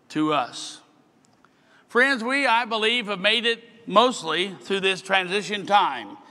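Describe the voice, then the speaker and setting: An older man, a bishop, giving an earnest sermon address at a podium, slowing down as he reads scripture. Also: high-pitched, pushed and bright. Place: large hall at a podium. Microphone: podium microphone. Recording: long hall reverb.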